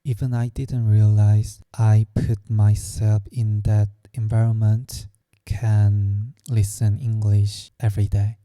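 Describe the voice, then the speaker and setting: A young man from Korea with a Korean accent, telling his own story slowly and hesitantly, searching for words. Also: lowish voice, little pitch movement, dark tone, dry recording. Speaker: vlogger. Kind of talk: personal storytelling.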